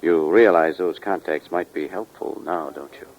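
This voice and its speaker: A man's voice: deep voice, moderate pace, vocal fry, highs cut off. A man playing an earnest, businesslike insurance adjuster in a 1940s radio drama. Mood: earnest, persuasive.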